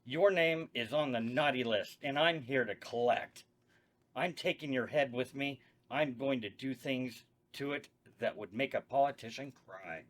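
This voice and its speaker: A man's voice: medium pitch, unhurried, close to an everyday voice. A man reading an angry, vengeful Santa. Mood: indignant. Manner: indignant, blustering. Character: vengeful, petty adult male Santa Claus. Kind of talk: table read acting.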